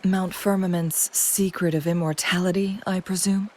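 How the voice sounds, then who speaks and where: An actress voicing a composed, knowledgeable noble lady in a video game, with measured, formal and poised delivery. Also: lowish voice, steady tone, darkish tone, close to an everyday voice. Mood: composed, grave.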